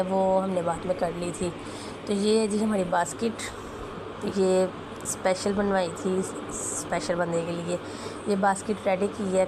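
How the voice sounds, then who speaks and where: A young woman with a sore, hoarse throat, chatting fondly as a family vlogger about her little daughter, often clearing her throat. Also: mid-range voice. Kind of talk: casual voice-over narration. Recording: voice with clear background noise.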